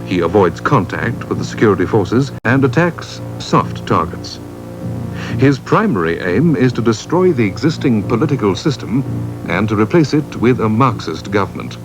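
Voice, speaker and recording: A South African man reading scripted documentary narration for an army training film in a formal, measured way. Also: on the low side, rough recording. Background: music behind the voice.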